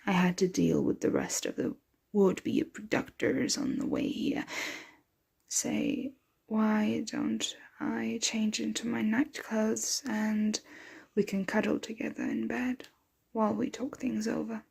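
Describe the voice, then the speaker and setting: A woman voicing a possessive, protective, motherly vampire in an intimate ASMR roleplay, breathy and often dropping into a whisper. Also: on the high side, expressive, a little mellow, exaggerated voice. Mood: calm, reassuring. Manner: slow, intimate, soothing address to a dear one.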